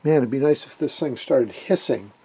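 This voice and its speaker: Husky, mellow-voiced man demonstrating electronics repair. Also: medium pitch, normal speed, no room sound. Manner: informal, technical, thinking aloud. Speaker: host of an electronics repair vlog. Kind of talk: casual hands-on demonstration commentary.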